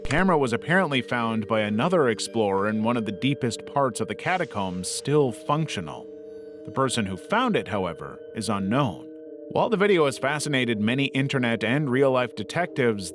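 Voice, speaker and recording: Measured male narrator over music. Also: medium pitch. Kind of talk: scripted documentary-style narration. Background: background music.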